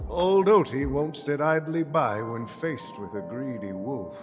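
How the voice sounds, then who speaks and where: A man's voice: medium pitch, long reverb tail, natural voice. An actor playing a shrewd, jovial old family boss in a video game, grandiose and chuckling in his condescension. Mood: sly, warning. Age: older. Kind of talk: theatrical video game acting.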